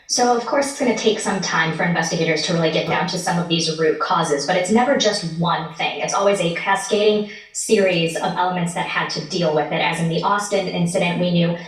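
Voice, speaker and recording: A heavily echoing, slightly distant recording of a woman reporter with a lowish voice. She explains things conversationally, as a guest on a live news interview. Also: fairly quick. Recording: very echoey, distant from the mic.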